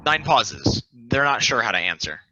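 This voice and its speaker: Very bright-voiced man narrating stage directions. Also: mid-range voice, big pitch swings, moderate pace, highs cut off. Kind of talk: scripted narration.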